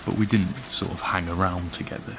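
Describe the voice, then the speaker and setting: A Yorkshireman, deep voice, dry and teasing, radio drama with noise behind. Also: steady tone, a little fast, vocal fry, fairly bright tone, low-quality recording. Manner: dry, teasing, self-deprecating. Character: man from Yorkshire living in London, wry and self-deprecating.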